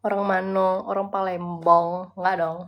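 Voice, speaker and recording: A woman's voice: on the low side, little pitch movement, normal speed, muffled recording. Chatty young woman on a phone live stream. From Bali, Indonesia. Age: young adult. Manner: chatty, reads viewer comments aloud and answers, mixes in English words. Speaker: livestreamer. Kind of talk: casual live-stream chat.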